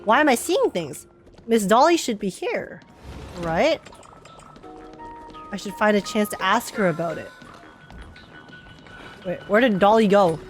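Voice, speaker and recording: A woman streamer giving casual, excitable commentary while playing a game, chatty and quick to swear and laugh. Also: medium pitch, soft background music.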